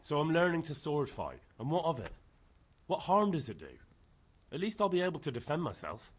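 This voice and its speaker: Young game hero, village lad: husky, expressive, defensive, cheeky. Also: male voice, fairly high pitch, brisk. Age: young adult. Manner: defensive, protesting. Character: defensive, cheeky young medieval blacksmith's son. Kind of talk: dramatic video game acting.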